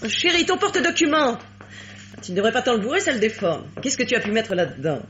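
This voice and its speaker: An actress playing a cool, self-possessed mistress in a French radio mystery, patronizing and insinuating. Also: female voice, fairly high pitch, sing-song, quick pace, a bit bright. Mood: condescending. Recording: dull, noisy vintage radio recording.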